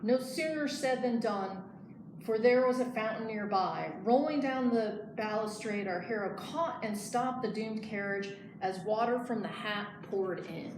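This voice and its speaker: A woman's voice: a little high, unhurried. Woman reading a storybook in an echoey room. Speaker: librarian reading aloud. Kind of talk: expressive picture-book read-aloud. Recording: echoey room, clear background noise.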